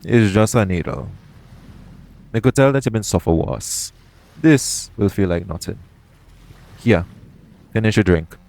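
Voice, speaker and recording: A man playing a roguish, caring pirate captain in an intimate audio roleplay, speaking in a soothing, gently teasing manner. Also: low voice, creaky, a little hiss or hum, heavily acted. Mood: soothing, reassuring.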